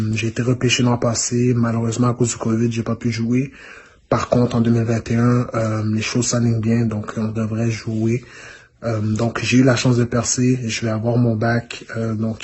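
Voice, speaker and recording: A young man giving a relaxed, hesitant testimonial to students. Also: mid-range voice, a little hiss or hum. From Rwanda, raised in Canada. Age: young adult. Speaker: former student, pro football player.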